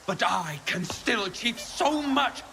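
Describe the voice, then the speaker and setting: Crazed ambitious scientist from a video game, high voice, ranty and grandiose, noisy background. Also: male voice, big pitch swings, slow, vocal fry, exaggerated voice. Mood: indignant, fervent. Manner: theatrical, ranting. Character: unhinged ambitious male scientist, bitter and grandiose. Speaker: scientist character. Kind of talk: video game cutscene acting.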